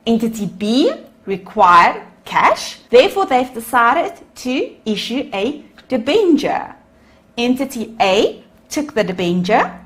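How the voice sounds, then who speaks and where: A woman lecturer with a South African accent, a native Afrikaans speaker, explaining accounting over slides and calling her students "guys". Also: on the high side. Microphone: voice-over on screen recording.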